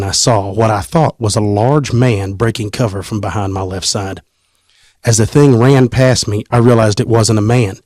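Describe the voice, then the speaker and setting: A man, hosting a casual podcast and reading a story aloud in an animated, teasing way. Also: lowish voice, no echo. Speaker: podcast host.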